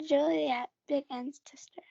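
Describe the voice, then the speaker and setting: Casting: female narrator, very high voice with fry, scripted school play reading, dry lo-fi audio. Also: steady tone, measured pace, warm tone, no echo. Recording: dull lo-fi audio.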